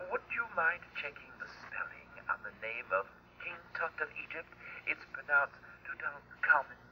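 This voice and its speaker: A man's voice: a little high, expressive, normal speed, close to an everyday voice. An actor playing a polite, fussy male professor in a radio drama, speaking courteously, precisely and a little hesitantly. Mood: mild, unhurried. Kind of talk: old radio drama acting. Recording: dull, noisy vintage radio, telephone sound.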